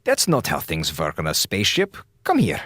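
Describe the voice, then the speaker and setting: An actor playing a clinical, pedantic male doctor on a space station in a radio drama, clipped, formal and stern, with a husky voice. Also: low-pitched, huge pitch swings, normal speed, sharp tone, no room sound, studio quality, exaggerated voice. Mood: concerned, commanding.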